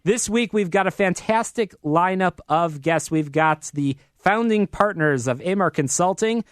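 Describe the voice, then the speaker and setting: A man's voice: high voice, a little slow, no echo. An American man hosting a live business talk radio show from a studio in an upbeat, promotional manner.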